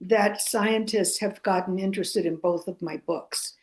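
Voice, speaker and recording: A woman whose first language is Greek, answering questions as a guest author. She speaks slowly and takes pauses. Her pitch is ordinary and her manner is thoughtful. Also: fairly even intonation. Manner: slow, thoughtful, with pauses and fillers. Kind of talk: casual conversational interview.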